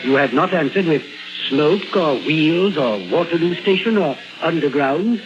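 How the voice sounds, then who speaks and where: A man acting a composed, authoritative psychiatrist in a 1950s radio drama, with a formal, clinical, deliberate way of questioning. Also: a little high, expressive. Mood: clinical, probing. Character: composed, probing male psychiatrist, brisk and authoritative. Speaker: actor. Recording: dull vintage radio recording with music behind.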